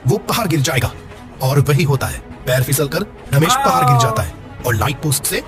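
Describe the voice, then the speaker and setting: Animated male horror narrator over music. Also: fairly high pitch, normal speed. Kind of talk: dramatic scripted horror story narration.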